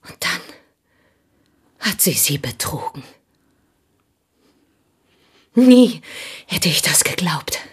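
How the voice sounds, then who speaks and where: An actress in a German radio play, playing an intense, embittered provincial Frenchwoman making a fervent, halting confession in a husky, breathy voice. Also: deep voice, sing-song, full character voice. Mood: bitter, intense. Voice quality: husky, breathy, dropping into whispers. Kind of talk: German radio play acting, dramatic monologue.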